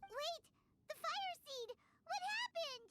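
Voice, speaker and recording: A woman's voice: extremely high pitch, expressive, slow, heavily acted. A woman voicing a tiny, childlike, anxious fairy companion in a video game, with a chirpy, whiny delivery. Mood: urgent. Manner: chirpy, whiny, refers to herself in third person. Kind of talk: scripted video game voice acting.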